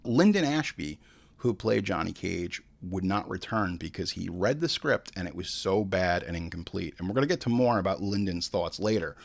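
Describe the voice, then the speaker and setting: Animated man hosting film commentary. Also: deep voice, normal speed, vocal fry. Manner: informal, frequent swearing; acts out imagined dialogue. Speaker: show host. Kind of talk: casual, opinionated film commentary.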